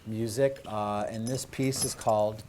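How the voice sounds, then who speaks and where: Low-voiced male talk show host, noisy background. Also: measured pace. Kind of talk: conversational hosting of a talk show. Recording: clear steady background noise.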